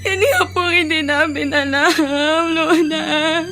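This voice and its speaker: A woman's voice: high-pitched, slow, bright, forceful tone, exaggerated voice. A woman playing a distraught young granddaughter in a radio drama, crying and plaintive as she speaks. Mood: tearful, distraught. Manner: plaintive, tearful. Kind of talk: Filipino radio drama acting.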